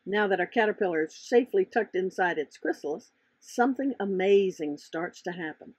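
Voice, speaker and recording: A woman narrates a children's storybook with very lively intonation and clear emphasis. The voice is a bit raspy, recorded close and dry, and they explain things expressively as the author of the book. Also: medium pitch, normal speed, no room sound. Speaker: author reading their own children's book.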